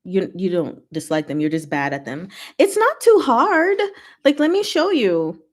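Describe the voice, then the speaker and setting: A woman's voice: medium pitch, very animated, measured pace. A woman hosting a livestream, chatting informally and giggly as she reads and answers viewer comments. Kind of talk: casual livestream chat, reading comments aloud.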